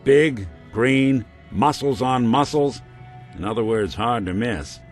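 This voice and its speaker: A man's voice: medium pitch, expressive, slow pace, faint music behind. An actor playing a weary male synth private detective with a dry, laid-back wit, in video game dialogue. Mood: wry.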